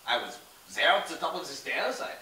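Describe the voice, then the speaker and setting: A man's voice: a little high, very animated, a little fast, pushed and bright. A man doing an oily fake-French con man. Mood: menacing. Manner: oily, menacing blackmailer. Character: smooth middle-aged male con man faking Frenchness. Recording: echoey room, mic far off, faint noise.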